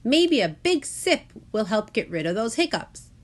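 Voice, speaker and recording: A woman voicing a lively, caring grandmother in a children's storybook, exclamatory and helpful. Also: on the high side, measured pace, pitched above the performer's own voice. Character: lively, caring grandmother offering hiccup remedies.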